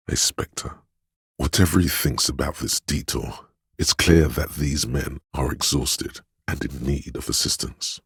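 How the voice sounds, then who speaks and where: A man voicing a gentle, perceptive inner voice in a video game, narrating others' feelings in a measured, hushed, solemn and compassionate way. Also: a bass voice, slightly rough, no room sound, studio quality, heavily acted. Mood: wistful, compassionate. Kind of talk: scripted game narration, intimate inner monologue.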